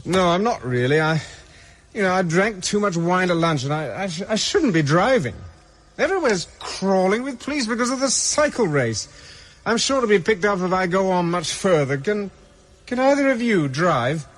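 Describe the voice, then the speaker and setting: Clear vehicle noise runs behind a harried English fugitive in a radio thriller. He has a high British voice with lively swings, bluffing politely and hesitantly. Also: moderate pace. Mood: flustered pleading. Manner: hesitant, polite, muttering to himself. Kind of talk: radio drama acting.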